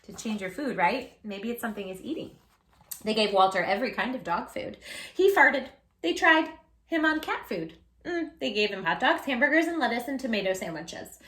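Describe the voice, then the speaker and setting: A woman hosting a read-aloud storytime for young children, speaking in an animated, emphatic way straight to her little listeners. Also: a little high, moderate pace. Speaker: children's storytime host and tutor.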